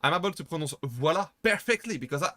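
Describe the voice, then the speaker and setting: A man with a French accent, chatting casually on a live stream and rambling through halting restarts and self-deprecating asides. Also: fairly high pitch, animated, sharp tone, no echo, clean studio sound. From France. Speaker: VTuber streamer.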